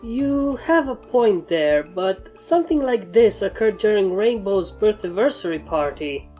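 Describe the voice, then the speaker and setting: A woman's voice: mid-range voice, expressive, takes it slowly, mellow, faint music behind. A woman voicing a sensible, reassuring young female unicorn pony in a story reading.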